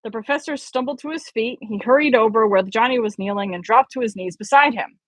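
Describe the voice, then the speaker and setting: A woman's voice: a little high, fairly quick, no room sound, rough recording. A woman reading a story aloud expressively as an amateur audiobook reader.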